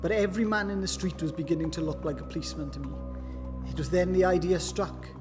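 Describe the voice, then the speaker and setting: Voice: mid-range voice, quick pace, lightly acted. An actor playing a cowardly, whining manservant turned thief in a festive radio play, pleading and flustered. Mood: anxious narrating. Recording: long hall reverb, loud music underneath.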